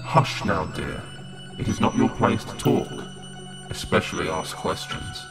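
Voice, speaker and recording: A very low-voiced, menacing monster of the afterlife, sadistic and mocking. He talks like a theatrical audio drama villain, manipulative and cruel, with loud music underneath. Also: animated, a little slow. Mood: irritated menace. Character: sadistic male limbo creature, gloating, cruel and manipulative. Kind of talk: audio horror drama acting.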